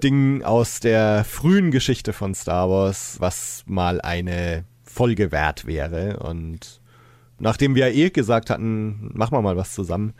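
A man's voice: on the low side, takes it slowly, darkish tone, no room sound. Casual male podcaster, dry sound. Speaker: podcast host. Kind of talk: casual chat.

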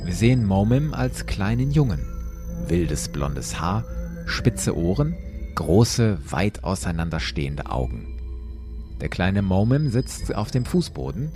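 A man's voice: deep voice, big pitch swings, slow, over music. A man narrating a scripted story dramatically, as a host retelling it for listeners.

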